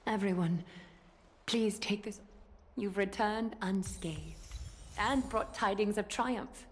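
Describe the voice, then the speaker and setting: An actress playing a serene noblewoman sage in a fantasy game, speaking in a measured, formal and cryptic way. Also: medium pitch, lightly acted. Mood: serene, solemn. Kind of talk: fantasy video game drama acting.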